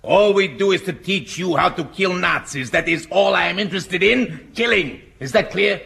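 Brusque high-pitched Spanish guerrilla captain. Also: male voice, normal speed, gravelly, a bit bright, exaggerated voice. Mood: brusque, menacing. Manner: brusque, barking, blunt. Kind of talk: British sitcom acting.

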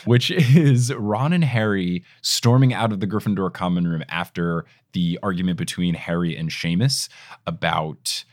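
A man's voice: low-pitched, normal speed. A young man hosting a podcast, chatting casually with rambling asides and frequent laughter. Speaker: podcast host. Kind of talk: casual chat.

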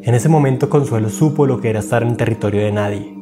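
A man's voice: medium pitch, quick pace, over music. A man narrating a scripted documentary as its host, in a dramatic, literary storytelling manner.

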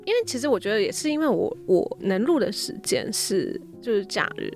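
A woman speaking as a guest in a casual podcast chat, telling stories in a giggly, animated way. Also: mid-range voice, a little fast. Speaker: interview guest, audio drama app founder. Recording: music behind the voice.

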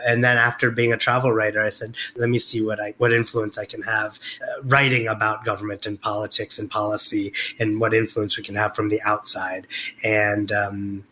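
Bright-voiced man, hesitant interview guest. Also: on the low side, rough recording. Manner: hesitant, many fillers and restarts. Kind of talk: reflective interview talk.

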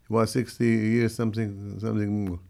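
An older man with a heavy Brazilian accent, answering interview questions in halting, direct English with frequent restarts. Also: on the low side, flat delivery, gravelly, a little mellow. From Syria. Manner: halting, restarts mid-phrase, plain direct phrasing. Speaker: guest.

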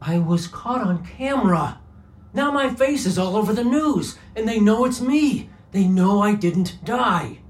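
Fed up bank robber for audio comedy, sarcastic, blunt, really up and down delivery. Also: male voice, sits high, lively intonation, unhurried. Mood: indignant. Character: exasperated bank robber on the run, blunt and irritable. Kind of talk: comedic audio drama acting.

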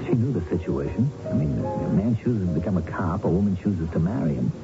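A deep-voiced, nervy persuader. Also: male voice, animated, fairly quick, vocal fry. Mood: rationalizing. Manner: insistent, stammering as he reasons. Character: pragmatic male friend urging silence, nervy and persuasive. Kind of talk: radio drama acting. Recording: muffled vintage radio, music behind.

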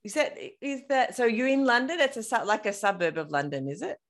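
A woman hosting a running podcast, chatting in a bubbly, animated way and laughing often. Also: on the high side, brisk. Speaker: podcast host. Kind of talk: casual interview chat.

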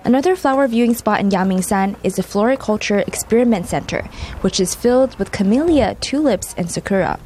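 A woman delivering a news field report in an upbeat, lively read. A faint noise sits under her voice. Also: mid-range voice, brisk. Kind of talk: scripted field report narration.

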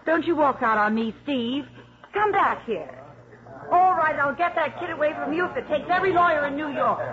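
An actress playing a brazen, scheming, moneyed ex-wife in a 1950s radio drama, theatrical and insinuating. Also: female voice, high voice, lively intonation, moderate pace, a crowd in the background. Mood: angry, threatening. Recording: muffled 1950s radio recording.

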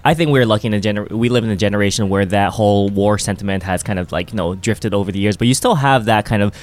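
Fast-talking young man, low voice. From Hong Kong. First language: Japanese. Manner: fast, rambling, many "you know" fillers. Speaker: podcast guest. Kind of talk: casual chat.

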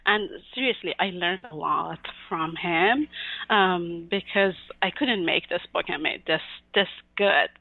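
A woman's voice: fairly low pitch, creaky, dry recording. A woman from Iran, a guest illustrator in a casual interview, talking with many fillers and little laughs. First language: Persian. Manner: warm, giggly, halting with many fillers. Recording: tinny phone-like line.

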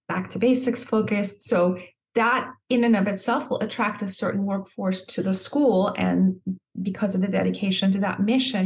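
Gravelly-voiced middle-aged woman guest. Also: mid-range voice, a little mellow. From Iran. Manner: earnest, articulate, with fillers. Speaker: education nonprofit president. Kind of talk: interview guest answers.

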